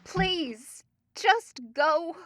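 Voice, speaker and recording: Flippant young best friend for audio drama: high-pitched, unhurried, teasing, guarded. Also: female voice, animated, noisy background, heavily acted. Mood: uneasy, hesitant. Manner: teasing, breezy, uses pet names.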